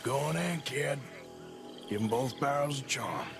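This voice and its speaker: An actor playing a seasoned, laconic gunslinger in a western video game, dry and protective, with an American accent. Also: male voice, mid-range voice, measured pace, over music, lightly acted. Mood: dry, scheming. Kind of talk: naturalistic video game acting.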